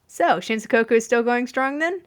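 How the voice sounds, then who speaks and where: A woman's voice: on the high side, moderate pace, lightly acted. A woman voicing a sly, amused male detective in a fan fiction reading, teasing and knowing. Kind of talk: fan fiction audiobook character voice.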